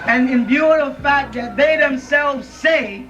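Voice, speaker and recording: A man's voice: very high-pitched, measured pace, bright, forceful tone, soft background music. A man giving an emphatic, informal lecture to a live audience in a room, often checking in with his listeners. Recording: dull lo-fi audio from a distant mic.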